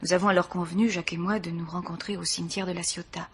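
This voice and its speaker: Woman acting a low-voiced, dignified grieving mother. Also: flat delivery, slight background noise, lightly acted. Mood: sorrowful, restrained. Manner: formal, measured, sorrowful. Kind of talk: French radio mystery drama acting.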